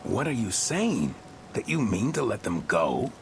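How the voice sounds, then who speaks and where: An actor playing a gruff, blunt, distrustful rebel fighter in a fantasy video game cutscene. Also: male voice, fairly low pitch, sing-song, unhurried, slight background noise, full character voice. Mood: suspicious.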